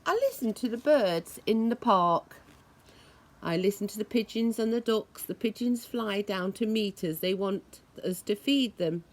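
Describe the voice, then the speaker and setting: Slow-paced woman reading a children's story. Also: mid-range voice, animated. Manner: deliberate, acts out noises expressively. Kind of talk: read-aloud children's story with imitated sound effects.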